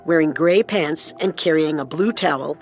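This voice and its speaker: A woman co-narrating a scripted true-crime story with measured, dramatic delivery. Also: low voice, a little mellow, soft background music.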